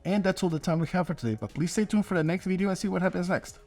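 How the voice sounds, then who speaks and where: A man's voice: sits high, racing, a little mellow, faint music behind. A man hosting his own channel as an inventor, narrating a scripted video update to viewers. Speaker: host and inventor.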